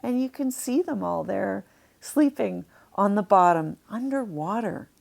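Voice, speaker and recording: A woman narrating a children's storybook, deliberately explaining things to young listeners as she reads. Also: medium pitch, lively intonation, creaky, a little mellow.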